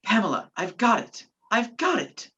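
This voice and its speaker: A woman's voice: mid-range voice, sing-song, moderate pace, a bit bright, breathy edge, full character voice. A woman playing a determined, commanding man on the run in a radio play. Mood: insistent, commanding. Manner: urgent, commanding. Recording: dull lo-fi virtual recording.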